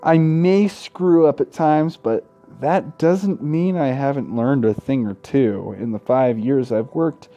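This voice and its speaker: A man's voice: mid-range voice, takes it slowly, few pauses, dark tone, highs cut off, soft background music. A man hosting a gaming video, reading visual novel text aloud and adding casual, irreverent commentary. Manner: irreverent, sweary heckling between read passages.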